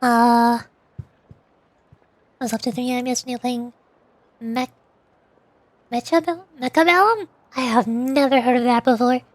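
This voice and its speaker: A woman's voice: fairly high pitch, normal speed, pauses often, no room sound. A woman chatting casually and playfully with her stream viewers as she plays a game, giggling and teasing as she goes. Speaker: VTuber livestream host.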